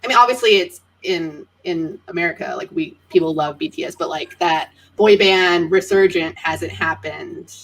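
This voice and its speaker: A woman's voice: lowish voice, moderate pace, hardly any pauses, a bit bright, rough recording. A woman co-hosting a group livestream, chatting casually in a giggly, effusive manner.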